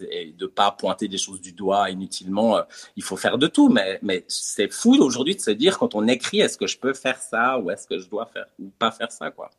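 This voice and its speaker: A man's voice: fairly low pitch, expressive, normal speed, slightly rough. A man, a comedian and theatre teacher, talking casually in an interview, with many fillers and repeated words.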